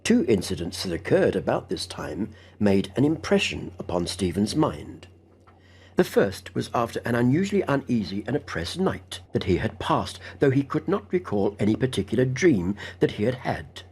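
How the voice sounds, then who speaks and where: A man's voice: fairly low pitch, very animated, normal speed, darkish tone, breathy edge. A man narrating a literary ghost story as an audiobook reader.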